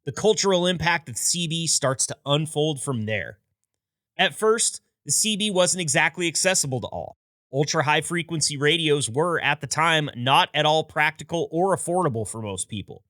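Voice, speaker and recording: Male podcast host, scripted storyteller, crisp forceful tone, slightly high voice, close dry sound. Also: expressive, moderate pace, no echo. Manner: upbeat, storytelling delivery with wry asides. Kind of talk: scripted narration.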